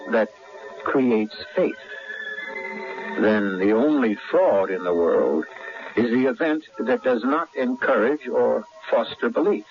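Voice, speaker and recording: Animated radio drama host. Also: male voice, medium pitch, takes it slowly, soft background music. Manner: theatrical, literary. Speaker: host and narrator. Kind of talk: scripted radio drama narration. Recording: dull old radio broadcast.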